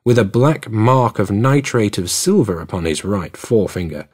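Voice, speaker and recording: A man voicing a keen, superior, teasing male detective in an audiobook, brisk, assured and precise. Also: on the low side, expressive, a little mellow, husky. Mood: explaining, assured. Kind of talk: audiobook character dialogue.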